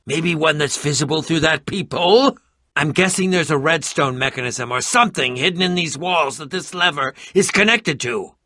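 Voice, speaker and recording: An actor voicing an eccentric, prickly and curious middle-aged adventurer in a video game, with a pompous, theatrical manner and formal wording. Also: male voice, on the high side, normal speed, pushed and bright, dry recording, studio quality. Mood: curious, wry.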